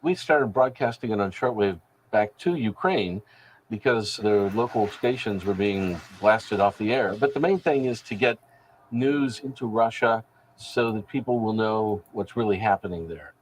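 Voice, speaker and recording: A man speaking as a station manager in a news interview, explaining things in a measured way. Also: on the low side, lively intonation, a little hiss or hum, low-quality recording. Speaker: radio station general manager.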